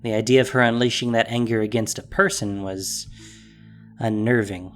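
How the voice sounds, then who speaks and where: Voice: medium pitch, fairly even intonation, moderate pace, soft background music. A narrator reading a scripted fantasy story in a dramatic storytelling style.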